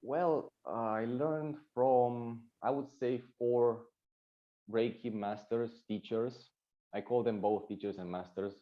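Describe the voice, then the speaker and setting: A man's voice: mid-range voice, steady tone, slow pace, muffled recording. A man from Romania with a Romanian accent, a Reiki teacher answering interview questions, searching for words with fillers and restarts.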